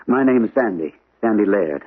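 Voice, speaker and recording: An actor playing an easygoing, sociable painter in a radio drama. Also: male voice, fairly low pitch, lively intonation, normal speed, breathy edge, no echo. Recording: dry, narrow-band old radio recording.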